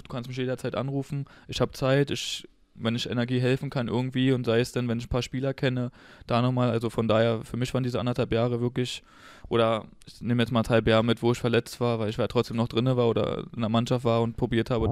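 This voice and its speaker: A man's voice: mid-range voice, a little mellow, clear, resonant tone, no echo, clean studio sound. A man from Berlin chatting casually and informally as a guest in an interview. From Berlin, Germany. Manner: informal, colloquial German. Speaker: podcast guest, former footballer.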